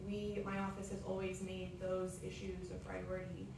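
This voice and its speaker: Woman, 30s, commissioner, earnest, monotone, echoey hall, distant mic. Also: fairly low pitch, moderate pace, creaky, background noise. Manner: earnest, steady, with frequent ums. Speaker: city commissioner. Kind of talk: candidate forum opening statement.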